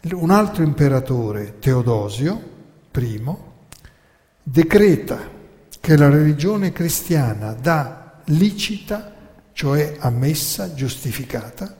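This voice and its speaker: A man narrating history as a theatrical lecture from a stage, emphatic and full of dramatic pauses. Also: fairly high pitch, darkish tone. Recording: reverberant hall.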